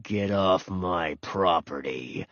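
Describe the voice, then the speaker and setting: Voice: sits low, takes it slowly, fairly bright tone, no room sound, dull audio, pitched below the performer's own voice. A narrator voicing a big, territorial bully of a dog with a menacing drawl in a children's storybook. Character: Ranger, big bullying territorial male Dalmatian, growling.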